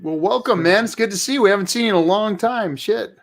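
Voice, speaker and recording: Foul-mouthed host, casual crypto stream. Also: male voice, high-pitched, quick pace, a little hiss or hum. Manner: swears freely, repeats words. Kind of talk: casual chat.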